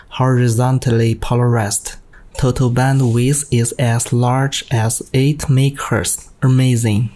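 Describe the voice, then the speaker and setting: A man with a Chinese accent, a native Chinese speaker, presents technical material from a script. His voice is dark and mellow, with very little rise and fall. He takes it slowly and reads in a stiff, careful manner. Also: medium pitch. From China. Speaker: amateur radio operator giving a technical presentation.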